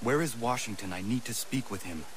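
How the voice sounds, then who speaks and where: Earnest young freedom fighter played by an actor, mid-pitched. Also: male voice, fairly quick, a bit bright, close to an everyday voice. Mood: urgent. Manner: formal, solemn phrasing without slang.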